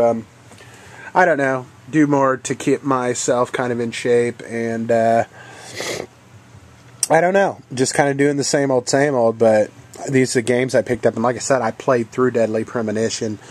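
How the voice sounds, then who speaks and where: A man's voice: mid-range voice, a little mellow. A man with a head cold, sounding congested and coughing now and then, rambling casually through a vlog with many ums and uhs. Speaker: channel host. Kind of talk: casual video game haul vlog.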